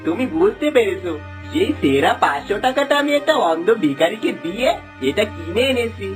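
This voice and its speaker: A woman's voice: medium pitch, sing-song, fairly quick, close to an everyday voice. A woman voicing a greedy, sly village husband in a theatrical, exclaiming cartoon manner. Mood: dismissive. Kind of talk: Bengali cartoon dubbing. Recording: music under the voice.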